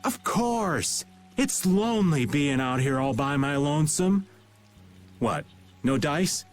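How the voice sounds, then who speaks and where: A man's voice: on the high side, animated, slow pace. A man voicing a shady, jovial middle-aged drifter in a video game, theatrical and teasing with feigned innocence. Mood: playful wheedling. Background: game music.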